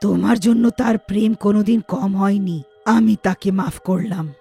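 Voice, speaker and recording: নারীকণ্ঠে অভিমানী বৃদ্ধা ডাইনি মা, পেছনে বাজনা. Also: female voice, mid-range voice, steady tone, moderate pace, creaky, warm tone, husky, faint music behind. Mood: hurt reproach. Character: wronged elderly mother turned witch ghost, grieving but forgiving.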